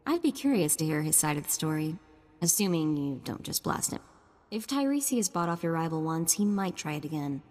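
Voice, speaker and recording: Lowish-voiced curious sidekick. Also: female voice, sing-song, brisk, darkish tone, long reverb tail. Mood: curious, informative. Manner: conversational, informative. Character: curious, wry female sidekick of a bounty hunter. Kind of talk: video game voice acting.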